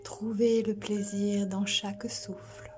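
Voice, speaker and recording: A woman leads a guided sleep meditation in a soft, breathy, near-whispered voice. Her tone is dark and mellow, and her delivery is very unhurried and flat, with long soothing pauses. Gentle music plays behind her. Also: medium pitch. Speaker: yoga nidra instructor.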